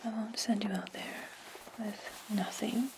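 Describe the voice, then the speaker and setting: A woman playing an imperious, scheming witch in a breathy, condescending whisper for an ASMR fantasy roleplay. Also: medium pitch, steady tone, noisy background, natural voice. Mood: condescending, instructing. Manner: slow, deliberate, condescending. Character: imperious, scheming witch giving orders to her servant.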